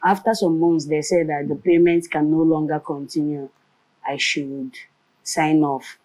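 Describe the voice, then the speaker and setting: This woman speaks low with a mellow tone and a Nigerian Igbo accent. She is a lively storyteller, moving between English, Pidgin and Igbo as she recounts her path as a comedian and radio presenter. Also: unhurried, poor audio. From Nigeria, Nkanu land. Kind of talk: storytelling in a conversational interview.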